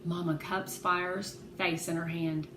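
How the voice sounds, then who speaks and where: A woman reading a children's novel aloud as an expressive, animated narrator. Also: lowish voice, takes it slowly. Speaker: read-aloud host. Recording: echoey room with clear background noise.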